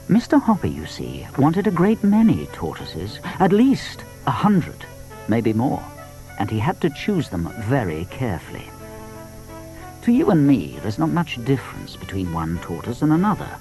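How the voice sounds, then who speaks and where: Male British narrator for a children's audiobook: expressive, animated delivery in a medium register, light music behind. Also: medium pitch. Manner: expressive storytelling. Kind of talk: scripted children's story narration.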